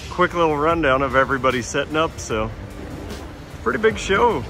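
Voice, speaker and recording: A man's voice: on the high side, sing-song, normal speed, sharp tone, faint music behind. A man in his forties filming a casual vlog, chatty and upbeat, with plenty of fillers. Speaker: vlogger, truck parts seller. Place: garage, driveway and large exhibition hall.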